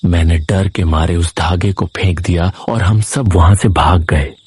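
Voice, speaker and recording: A man with a low, husky voice tells a first-person horror story. He reads slowly and with suspense, as a dramatic scripted narrator. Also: steady tone, a little mellow.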